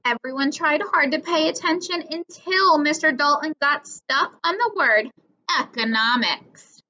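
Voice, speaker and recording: A woman reading a children's story aloud in an expressive, deliberate manner, acting out the dialogue. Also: high-pitched. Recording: dull lo-fi recording.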